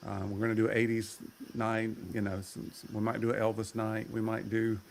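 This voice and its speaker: Low-voiced man, slightly gravelly. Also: monotone, brisk, a little hiss or hum. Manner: easygoing, rambling with fillers. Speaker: guest. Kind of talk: casual chat.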